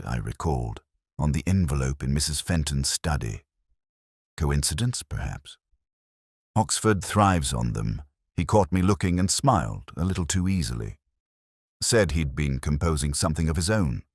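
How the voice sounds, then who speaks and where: Very deep, brooding detective inspector played by an actor. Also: male voice, no room sound, clean studio sound, exaggerated voice. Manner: measured, reflective, literary delivery. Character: weary, razor-minded male police inspector, brooding and world-wise. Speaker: narrator. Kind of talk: scripted first-person detective audio drama narration.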